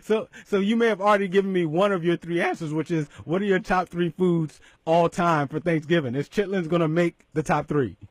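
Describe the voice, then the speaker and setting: A middle-aged man hosts a radio talk show from a studio, upbeat and chatty, laughing easily and tripping over his words. His voice sits a little high for a man, close and dry on the mic. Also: fairly quick, hardly any pauses, no echo. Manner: upbeat, laughs a lot, stumbles and repeats words.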